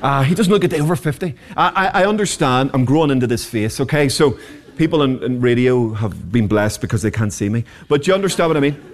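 A man's voice: mid-range voice, animated, fast talker. A man, preaching a sermon as pastor, passionate and rhetorical with humorous asides. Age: 40s.

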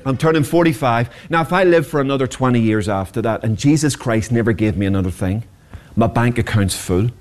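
A man's voice: mid-range voice, fairly quick, darkish tone. A man, preaching a sermon as pastor, passionate and rhetorical with humorous asides. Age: 40s.

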